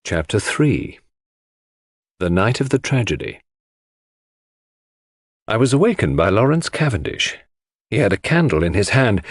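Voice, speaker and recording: A man's voice: lowish voice, dry recording, studio quality. A man reading scripted first-person literary narration as an audiobook narrator.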